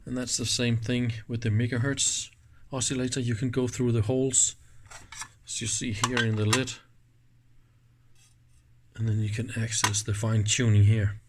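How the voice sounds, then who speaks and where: A man's voice: medium pitch, little pitch movement, moderate pace, background noise. A man gives a casual, hands-on technical demonstration in an informal, enthusiastic manner. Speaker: host of an electronics teardown channel.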